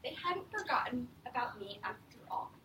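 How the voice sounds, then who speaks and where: A woman teacher reading a picture book aloud to young children and asking them questions, in an expressive, encouraging, patient manner. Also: fairly high pitch, moderate pace. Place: indoor room with a group of young children. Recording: roomy, distant mic, faint background noise.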